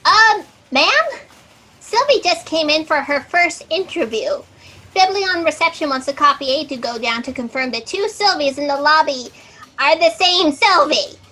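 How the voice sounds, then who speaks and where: A woman's voice: sits high, expressive, normal speed, bright, forceful tone. A woman playing an eager, chatty fact checker in a comic sci-fi audio drama, perky and a little hesitant. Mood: eager, flustered. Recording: noisy home video-call audio.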